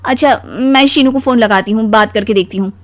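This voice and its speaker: A woman voicing a demanding, nagging young wife in an animated story, with a pushy, complaining manner. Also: on the high side, brisk, lightly acted. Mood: casual, persuasive.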